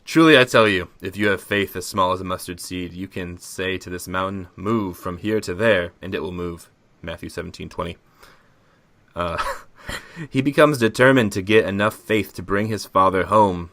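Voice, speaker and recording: A man's voice: low voice, normal speed, a bit bright, no room sound. A man bantering casually as a podcast co-host, joking and often laughing. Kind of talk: casual banter and halting reading aloud.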